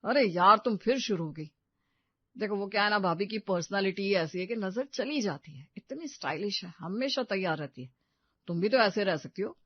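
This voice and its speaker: A woman's voice: medium pitch, animated, normal speed, no echo, muffled recording, natural voice. A woman voicing a casual husband in a storybook tale, offhand and placating as he defends himself. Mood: defensive. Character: casual, defensive husband admiring the neighbour's glamorous wife.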